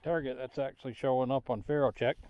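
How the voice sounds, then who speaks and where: A man's voice: mid-range voice, fairly even intonation, fast, muffled recording. A man giving casual running commentary to himself in short remarks while metal detecting outdoors, recorded on a body-worn camera. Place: outdoors on grassy farm field. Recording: outdoor action camera with background noise.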